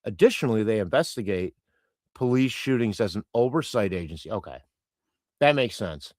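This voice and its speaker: A man whose first language is Croatian chats loosely through a livestream, reading viewers' comments in a rambling way full of ums and restarts. His pitch swings about in a lively way, and the sound is dry and close. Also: brisk, dry recording. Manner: rambling, chatty, many fillers and restarts. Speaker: livestream host reading chat. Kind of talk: casual live-stream chat.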